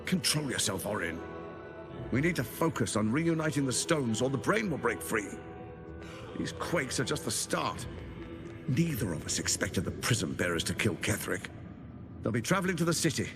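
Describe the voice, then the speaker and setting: A man's voice: mid-range voice, very animated, moderate pace, music in the background. A man playing a haughty, cold, scheming lord in a video game, condescending and commanding in a theatrical way. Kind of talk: dramatic video game acting.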